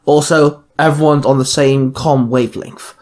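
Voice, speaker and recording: Man reading as a male team leader, medium pitch, calm orders, fan fiction audio. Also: normal speed, lightly acted. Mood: commanding.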